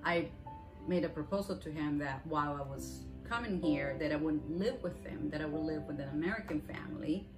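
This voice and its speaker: A woman's voice: deep voice, brisk, poor audio. A woman from Venezuela whose first language is Spanish, earnestly telling her migration story in long run-on sentences. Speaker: interviewee. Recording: music playing under the voice.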